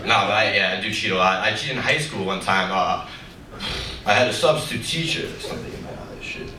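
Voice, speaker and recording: A young man doing a stand-up comedy set, with a low voice and a deadpan, rambling, self-mocking delivery. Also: a little fast, noisy background. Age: young adult. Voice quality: low, deep voice. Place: comedy club stage with a live audience. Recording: roomy, distant live club recording with loud crowd laughter.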